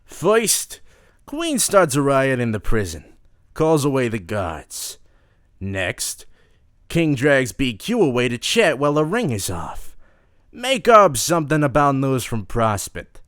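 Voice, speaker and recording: A man's voice: mid-range voice, sing-song, slow, a bit bright, dry recording, clean studio sound, voice pushed up. A man voicing a scheming, venomous male crime boss in a second-person audio drama, laying out plans in a commanding voice. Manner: hissing, commanding.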